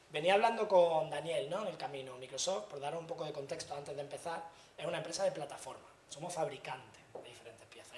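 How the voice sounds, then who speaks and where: A man's voice: a little high, slight background noise. A man from Granada with an Andalusian Spanish accent, giving a chatty conference talk to students in a university hall and often asking the audience questions. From Granada, Spain. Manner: chatty, asks the audience questions, frequent tag questions. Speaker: invited speaker.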